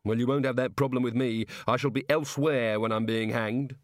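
A man's voice: medium pitch, fairly even intonation, fairly quick, no echo, clean studio sound, close to an everyday voice. A man voicing a hapless, condemned wizard with a gloomy, sarcastic and hesitant manner, in a storybook reading.

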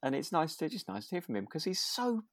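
A man co-hosting a podcast in relaxed, casual chat, feeling his way through thoughts with repeats and rephrasings. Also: a little high, fast, dry recording, studio quality. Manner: hesitant, repeats and rephrases himself. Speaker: podcast co-host. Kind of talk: relaxed casual chat about a radio drama.

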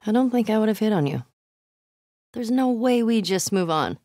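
A woman's voice: a little high, lively intonation, normal speed, mellow, no echo, studio quality, close to an everyday voice. An actress playing a tough, foul-mouthed, wisecracking young woman survivor in a video game, blunt and naturalistic. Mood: casual, reflective. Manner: blunt, sweary, wry.

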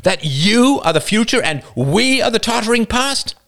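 A man's voice: high-pitched, very animated, normal speed, creaky, fairly bright tone, pitched above the performer's own voice, heavily acted. A man voicing an impassioned male speaker who lectures and accuses a rival in a story. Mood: indignant. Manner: righteous, accusing, preachy.

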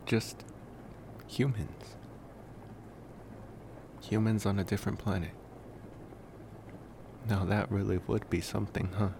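A man playing a devoted, protective young college vampire in an intimate ASMR roleplay, speaking softly with musing pauses. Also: creaky. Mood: dreamy musing. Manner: soft, unhurried, musing with long pauses. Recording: noisy, steady background rumble.

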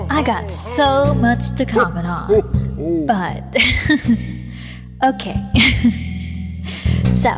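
High-voiced catty pixie in an echoing hall played by a woman. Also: close to an everyday voice. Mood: bubbly, self-important. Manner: chatty, gossipy, indignant. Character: cheery, catty, bubble-headed female party pixie. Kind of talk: noir radio drama monologue. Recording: reverberant hall, loud music behind.

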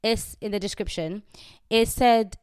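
A woman's voice: mid-range voice, fairly even intonation, unhurried, darkish tone, clear, resonant tone, dry recording, studio quality. A young adult woman hosting her own podcast in a casual faith talk, chatty and candid with fillers and frequent laughs; English is not her first language. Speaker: podcast host. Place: indoors, recording herself on video.

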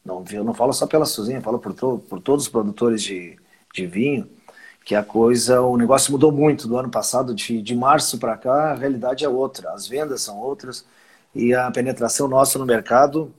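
Husky fifty-year-old man, rambling chat. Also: medium pitch, a little fast, a little mellow. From southern Brazil. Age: 50s. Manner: rambling, restarts phrases, chuckles often. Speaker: winery owner, guest. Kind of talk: casual conversational interview.

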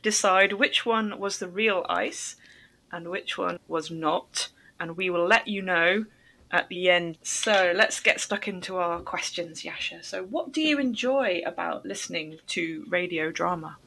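A woman hosting a language-learning podcast, interviewing a guest in careful, clearly phrased speech. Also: lowish voice, a little slow, pushed and bright, poor audio. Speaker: podcast host.

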